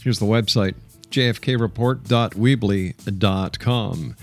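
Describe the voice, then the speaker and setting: A man's voice: lowish voice, measured pace, soft background music. A man hosting a radio talk show from a studio, interviewing and announcing. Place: broadcast studio.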